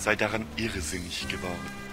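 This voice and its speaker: A man's voice: low-pitched, steady tone, normal speed, over loud music. A man giving a scripted literary narration for a radio play in a solemn, ornate storytelling manner.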